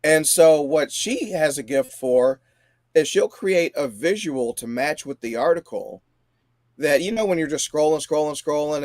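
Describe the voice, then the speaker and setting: A man's voice: fairly high pitch, darkish tone, no room sound. A man around forty chatting casually about politics as a show guest. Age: 40s.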